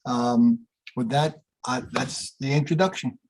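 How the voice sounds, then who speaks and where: A man introducing candidates in a halting, casual way, often adding "okay" and correcting himself. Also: mid-range voice, darkish tone. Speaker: forum introducer.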